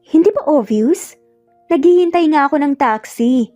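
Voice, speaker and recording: A woman's voice: high-pitched, expressive, moderate pace, warm tone, dry recording, soft background music, voice pushed up. A woman voicing a young mother in an audio story, caring and teasing with a slightly prickly edge. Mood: guarded, prickly.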